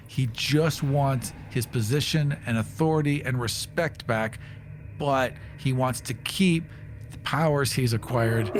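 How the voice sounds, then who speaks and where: A man's voice: mid-range voice, slight background noise. A man playing a tabletop game, casually narrating what his character thinks and does.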